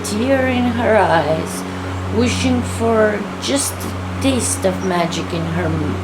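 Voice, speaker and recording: Slow-paced woman storyteller with background noise. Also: mid-range voice, lively intonation. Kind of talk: slow storybook narration of a fairy tale.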